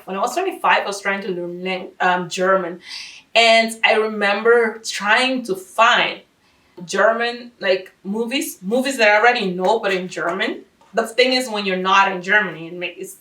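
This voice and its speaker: A chatty woman in her thirties from Curaçao. Her voice sits at an ordinary middle pitch and is recorded a little away from the mic in an echoey room. She talks in a casual, animated way with frequent laughter. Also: far from the mic. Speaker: vlogger. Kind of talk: casual language-learning tips vlog.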